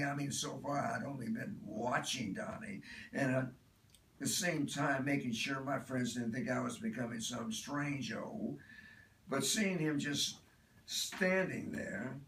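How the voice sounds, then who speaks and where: A roomy, slightly distant recording of a man acting as a chatty, self-absorbed do-gooder. The voice is mid-pitched and gravelly. The monologue is conversational and confiding, with earnest emphasis. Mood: indignant. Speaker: author reading own work. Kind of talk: dramatic first-person monologue reading. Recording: roomy, distant mic, faint noise.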